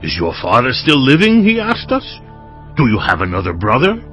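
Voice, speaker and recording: An actor playing a troubled, anxious brother in a dramatized audio Bible, speaking earnestly and emotively. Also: male voice, medium pitch, huge pitch swings, normal speed, fairly bright tone. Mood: earnest, defensive. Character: troubled, anxious Hebrew brother, a traveling shepherd. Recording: music behind the voice.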